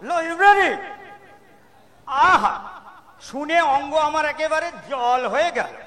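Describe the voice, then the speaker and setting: A man's voice: extremely high pitch, expressive, unhurried, pushed and bright, some chatter behind, heavily acted. A man acting a shrewd, irritable landlord in his fifties in an amateur audio drama, brusque and sarcastic. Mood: sarcastic, grumbling. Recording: echoing school hall stage.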